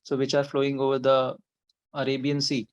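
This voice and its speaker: A man's voice: medium pitch, monotone, brisk, clean tone. A man with an Indian accent, giving a methodical, step-by-step technical explanation as an interview guest. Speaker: air traffic controller, podcast guest.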